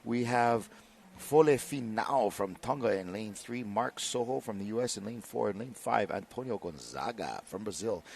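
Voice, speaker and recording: A man's voice: medium pitch, a little hiss or hum. A man from Hawaii commentating on paddling races in a mid-range voice. He reads the lane lists steadily, then gets enthusiastic when he tells stories about other paddlers. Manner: warm, enthusiastic storytelling between race calls. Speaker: race commentator. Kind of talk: live race commentary.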